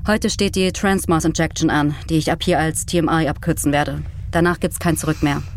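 An actress playing a brisk, duty-driven spaceship commander in a naturalistic audio drama, with a firm, matter-of-fact manner. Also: female voice, low-pitched, steady tone, a little hiss or hum. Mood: composed, businesslike.

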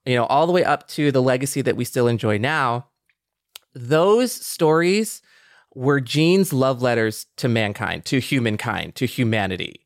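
A man's voice: mid-range voice, a little slow, no echo, studio quality. A man co-hosting a podcast in reflective conversation, thinking aloud earnestly and repeating phrases for emphasis. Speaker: podcast co-host.

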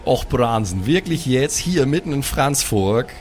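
Man as exasperated ghost brother, mid voice, lively. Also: medium pitch, a little slow, soft background music, lightly acted. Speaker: player.

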